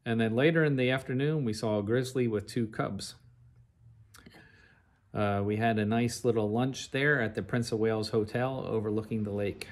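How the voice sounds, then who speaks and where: A middle-aged man with an average-pitched voice tells the story of a trip. He narrates conversationally, going through it in order and with plenty of detail. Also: steady tone, moderate pace. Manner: recounts in an orderly, chronological, detailed way. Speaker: narrator of a travel slide show.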